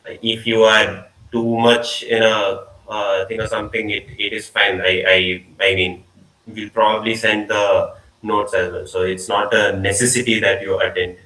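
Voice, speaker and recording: A man's voice: on the low side, flat delivery, measured pace, sharp tone, far from the mic. Young man teaching maths on a video call. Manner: informal, repeats words and restarts, trails off often. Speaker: student lecturer. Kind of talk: online math lecture. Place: small room indoors. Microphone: laptop mic on video call. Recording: echoey video call audio.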